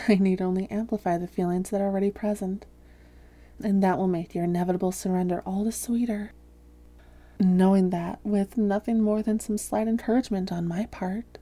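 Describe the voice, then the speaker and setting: A woman playing a seductive, domineering vampire posing as a therapist in an intimate roleplay audio, speaking softly and deliberately with frequent whispering. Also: medium pitch, natural voice. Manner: soft, breathy, often whispering, deliberate. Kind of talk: intimate roleplay audio drama, hypnotic delivery.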